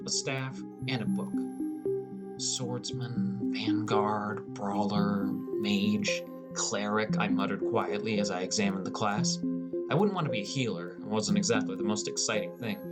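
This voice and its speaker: A man reading a first-person fantasy story as a scripted audiobook narration, in the role of a teenage gamer. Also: lowish voice. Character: teenage boy gamer, gloomy and introspective. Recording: music under the voice. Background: prominent music.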